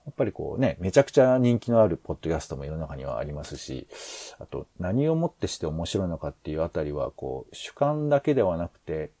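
A low-pitched man in a casual planning chat, working out his thoughts as he goes. His intonation rises and falls dramatically, and his tone is soft-edged and mellow. He rambles and hesitates as a podcast host talking things over. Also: big pitch swings, fast, dry recording. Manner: hesitant, rambling, thinks aloud.

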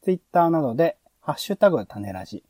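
A man's voice: on the high side, big pitch swings, a little fast, warm tone, no room sound, clean studio sound. A man hosting a podcast in casual review chat, chatty and thinking aloud as he goes. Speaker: podcast host.

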